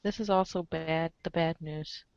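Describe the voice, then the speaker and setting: Lower-voiced woman reading a story aloud. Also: fairly even intonation, measured pace, gravelly, darkish tone, smooth, dry recording, muffled recording. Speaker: narrator of a group webcomic reading. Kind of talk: dramatic read-aloud narration.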